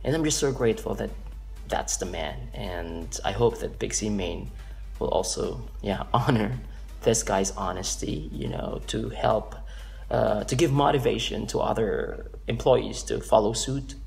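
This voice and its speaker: Filipino man telling a story, gravelly. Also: lowish voice, unhurried, a little mellow, faint music behind. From Philippines. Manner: earnest, rambling with restarts and fillers. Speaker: vlogger. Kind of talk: casual storytelling to camera. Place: office room indoors.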